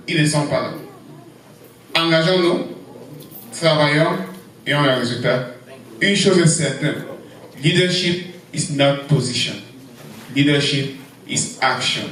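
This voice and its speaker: A man urging party members on in short, deliberate, emphatic phrases, like a political speech. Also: fairly high pitch, animated, a bit bright, slight background noise. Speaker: speaker at a party conference. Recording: very echoey hall, distant mic.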